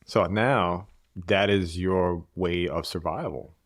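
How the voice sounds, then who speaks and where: A man. He speaks in a measured, reflective way and circles back to the same phrases. He sounds dry and close, with no room sound around him. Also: deep voice, no echo. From Bosnia. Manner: measured, repeats key phrases. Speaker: guest. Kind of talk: reflective interview conversation.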